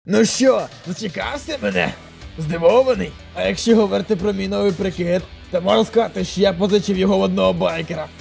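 A man's voice: sits high, quick pace, gravelly, darkish tone, soft background music. A man voicing a hot-headed, vengeful young male thug in a comic dub, taunting and aggressive. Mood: taunting, grudging.

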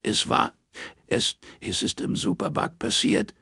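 A man voicing a distraught woman in an audiobook, crying and stammering haltingly through a phone call. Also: medium pitch, wildly swooping pitch, creaky, husky, dry recording, studio quality, pitched above the performer's own voice, heavily acted. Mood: sobbing, stammering.

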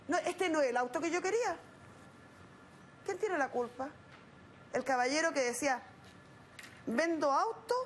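Blunt high-voiced Chilean woman judge. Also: slight background noise. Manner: blunt, scolding, rhetorical questions. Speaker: TV arbitration show judge. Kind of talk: stern courtroom lecturing. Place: TV studio set.

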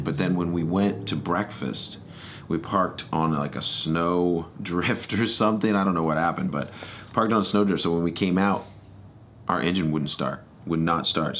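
A middle-aged American man talks casually into a close studio microphone as a podcast host. His voice is very low. He rambles and corrects himself as he goes, with faint noise behind him. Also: fairly bright tone. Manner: rambling, self-correcting storytelling. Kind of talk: casual solo podcast chat. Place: small home studio room. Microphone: close boom-arm podcast microphone.

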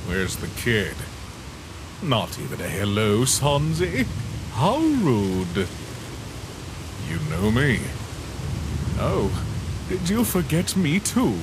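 Man acting sinister cartoon phantom, taunting, drawn-out phrasing, sliding intonation. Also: mid-range voice, huge pitch swings, noisy background. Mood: mocking. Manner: slow, taunting, theatrical. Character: sinister, mocking, forgotten phantom man, an old acquaintance. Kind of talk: fan comic dub acting.

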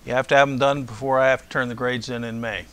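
A man's voice: medium pitch, steady tone, fast talker, fairly bright tone, smooth. An older man lecturing to a class in a large hall, speaking conversationally through a lapel mic and often asking "okay?". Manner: conversational, rambling, checks in with "okay?". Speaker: university professor.

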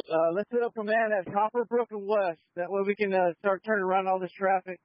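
A man's voice: high voice, steady tone, fast. A man, a police officer coordinating units informally over a police radio. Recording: muffled police scanner radio audio.

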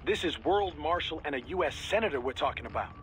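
Higher-voiced, sardonic American mission support man. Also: animated, fairly bright tone, slight background noise. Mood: informed, sardonic. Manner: brisk briefing, sardonic, exasperated. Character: exasperated, well-informed male military support operative. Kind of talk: video game cutscene acting. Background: game music.

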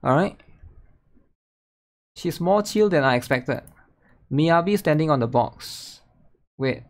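A man's voice: a little high, expressive, slow, vocal fry. A young man giving casual reaction commentary on a stream, often checking in with questioning tags. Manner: repeats questioning tags like "right?" and "guys". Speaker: streamer reacting to a game trailer. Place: indoor room, streaming at a desk. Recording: game trailer music behind voice.

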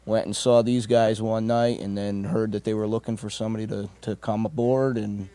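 A bass player from Connecticut telling how he joined the band, in a laid-back way. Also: level tone, moderate pace, dark tone. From Connecticut, USA. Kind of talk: casual interview.

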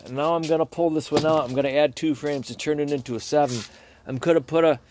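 A man's voice: on the high side, a little mellow, a little hiss or hum. A man beekeeper talking casually and plainly while showing his hives, recorded on a handheld phone in a garage. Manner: plainspoken, chatty, explains as he works. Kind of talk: casual hands-on demonstration.

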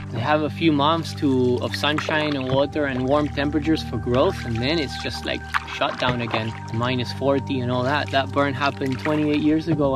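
Chatty man vlogging from his canoe. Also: mid-range voice. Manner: relaxed, chatty, talks to the camera while paddling. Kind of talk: casual outdoor vlog commentary. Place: outdoors on a river in a canoe. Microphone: action camera mounted on the canoe. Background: background music.

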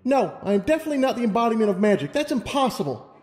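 A man acts out a flustered young pony mare from a storybook. The voice sits high and swings widely in pitch, stumbling through earnest protests full of self-doubt. It rings with long hall reverb. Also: sing-song, quick pace, darkish tone, soft background music. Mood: flustered denial. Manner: hesitant, stammering protests. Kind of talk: audiobook character reading.

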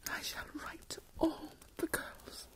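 A woman playing a fretful, self-pitying older mother in a period ASMR roleplay, whispering plaintively with melodramatic, drawn-out phrases. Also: pushed and bright. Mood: pleading, anguished. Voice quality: breathy whisper.

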